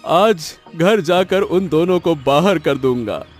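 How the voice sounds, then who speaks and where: Man acting a high, slow, plaintive cartoon farmer. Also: expressive, faint music behind. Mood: aggrieved resolve. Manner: plaintive, drawn-out lamenting. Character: wailing, wronged papaya farmer, aggrieved and self-pitying. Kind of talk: Hindi cartoon story voice acting.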